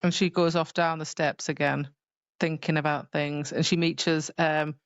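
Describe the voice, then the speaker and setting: Chatty low-voiced woman, slightly muffled. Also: little pitch movement, moderate pace, dry recording. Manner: chatty, informal, quotes characters loosely. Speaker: podcast co-host. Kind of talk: casual book discussion.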